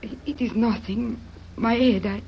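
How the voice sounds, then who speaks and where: An actress playing a devoted, anguished young Mexican woman in an old radio melodrama, pleading haltingly with a Mexican accent. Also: on the high side, fairly even intonation, gravelly. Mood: fearful. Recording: muffled, noisy vintage radio recording.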